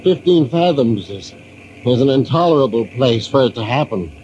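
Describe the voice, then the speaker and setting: An older man playing a brooding, gentle old seaman and grieving father, halting and repeating himself in old-time radio drama style. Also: mid-range voice, lively intonation, lightly acted. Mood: troubled. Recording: noisy old radio recording.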